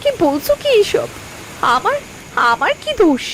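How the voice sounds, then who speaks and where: An actress voicing a distressed, principled young farmer's wife in a moral-story cartoon, pleading with strong emotion. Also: female voice, very high voice, big pitch swings, a little slow, a bit bright, slight background noise, heavily acted, poor audio. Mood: distraught, hurt.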